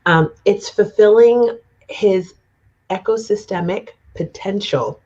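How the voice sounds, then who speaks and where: A woman's voice: mid-range voice, takes it slowly, darkish tone, dull audio. A woman co-hosting a live talk show, gushing as she introduces a guest, with many fillers. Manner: effusive, gushing praise with many "um"s. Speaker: co-host.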